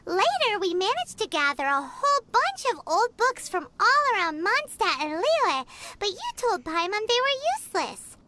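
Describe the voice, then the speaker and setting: A woman's voice: extremely high pitch, background noise, exaggerated voice. A woman voicing a tiny, chatty, curious fairy companion in a video game, who talks about herself in the third person.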